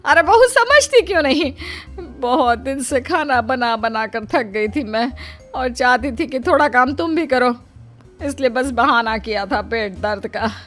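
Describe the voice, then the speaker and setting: A woman's voice: high voice, animated, unhurried, fairly bright tone, faint music behind. A woman playing an exasperated, scheming middle-aged mother-in-law in a Hindi cartoon story, with a dramatic, complaining delivery.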